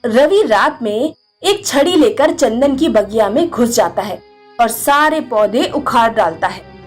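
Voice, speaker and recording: A woman's voice: fairly high pitch, lively intonation, unhurried, soft background music. A woman narrating a scripted moral fairy tale for children. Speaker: storyteller.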